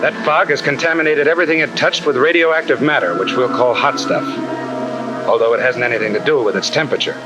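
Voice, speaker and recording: An actor playing a tough-talking, slangy army sergeant who lectures in a 1950 military training film. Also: male voice, medium pitch, fast talker, highs cut off, over loud music, close to an everyday voice. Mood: firm, instructive. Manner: blunt, slangy, wisecracking. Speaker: instructor.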